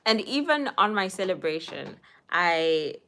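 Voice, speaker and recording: A young woman from Zimbabwe chats slowly and warmly into her phone on a casual livestream, reading out viewers' names and answering their comments. Her voice sits a little low for a woman, and she laughs easily between thoughts. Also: big pitch swings. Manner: chatty, greets viewers by name, laughs often, some whispered asides. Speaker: livestream host. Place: indoors at home.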